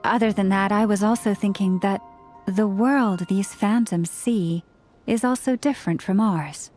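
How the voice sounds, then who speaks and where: A woman playing a gentle, composed young woman in a video game, speaking in a measured, formal, polite way. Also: mid-range voice, faint music behind, lightly acted. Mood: calm, explaining.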